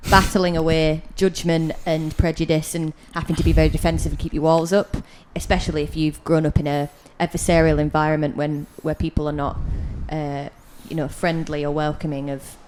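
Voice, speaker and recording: Low-voiced woman, teasing and questioning. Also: level tone, a little mellow, a little hiss or hum, rough recording. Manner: teasing, questioning, quick quips. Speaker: podcast co-host. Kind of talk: casual chat.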